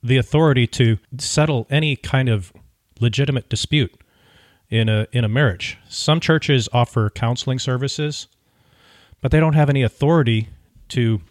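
A man co-hosting a podcast in casual conversation, speaking in halting, searching phrases. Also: medium pitch, dry recording, studio quality. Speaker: podcast co-host.

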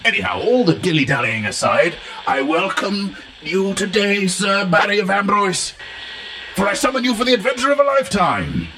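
Man putting on a pompous, bumbling professor, animated delivery. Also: sits high, normal speed, slight background noise, pitched above the performer's own voice, heavily acted. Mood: pompous, teasing. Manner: pompous, flowery, rambling with blunt asides. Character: pompous, bumbling, long-titled male professor of adventure lore. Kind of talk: comic in-character monologue as a recorded message.

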